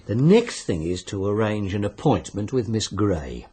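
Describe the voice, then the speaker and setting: Sly, low-voiced British fixer. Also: male voice, sing-song, measured pace, warm tone, husky, heavily acted. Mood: coolly businesslike. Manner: insinuating, euphemistic, coolly businesslike. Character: sly, genial bookmaker-like fixer arranging deaths by wager. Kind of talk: British radio drama acting.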